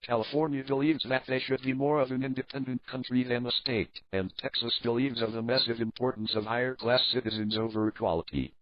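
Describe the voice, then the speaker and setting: A man playing a sober news anchor, reading a scripted crisis report in a formal, measured way. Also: medium pitch, no room sound, lightly acted. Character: sober adult male news anchor of alternate-history crisis. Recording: dull lo-fi narrow band.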